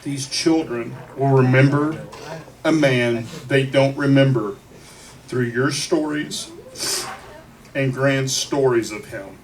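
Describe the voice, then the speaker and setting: A man giving a eulogy at a memorial gathering in a deliberate, declarative way, with dramatic pauses between phrases. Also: mid-range voice. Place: bar venue, captured from the audience. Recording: distant mic in a noisy bar.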